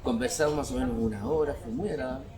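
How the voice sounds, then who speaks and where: A man's voice: medium pitch, fast talker. Middle-aged Chilean man, crowd noise. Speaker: guest. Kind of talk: casual anecdotal conversation.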